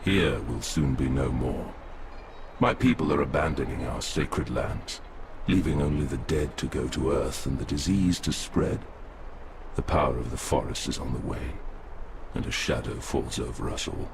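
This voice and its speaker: Ancient beast king of the forest, rumbling bass, solemn, grandiose, melancholy, game cutscene, noisy ambience. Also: moderate pace, creaky. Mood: ominous, solemn. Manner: solemn, grandiose, poetic doom-laden pronouncements. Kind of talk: video game cutscene acting.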